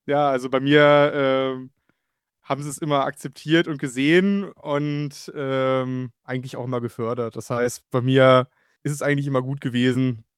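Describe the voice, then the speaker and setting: A man's voice: mid-range voice, fairly even intonation, moderate pace, smooth, no room sound, studio quality. A man, the guitarist and songwriter of a band, answering interview questions casually with frequent fillers.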